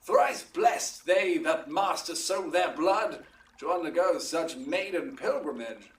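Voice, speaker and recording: Man playing lordly high-voiced duke, swooping delivery. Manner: stately, declamatory, theatrical. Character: lordly, measured duke of Athens passing judgment. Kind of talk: Shakespearean audio drama acting. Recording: roomy echo, distant from mic.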